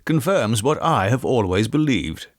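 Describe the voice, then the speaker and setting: A man voicing a big, sceptical gentleman who talks in an assertive, articulate, explanatory way in an audiobook ghost story. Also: mid-range voice, lively intonation, measured pace, no echo, studio quality.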